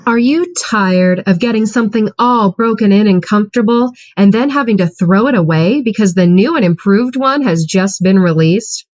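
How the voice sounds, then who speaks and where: An actress playing an upbeat pitchwoman reading a mock radio commercial. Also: normal speed, hardly any pauses, a little mellow, no echo, highs cut off, lightly acted. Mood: selling. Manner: salesy, upbeat. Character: brisk female commercial pitchwoman.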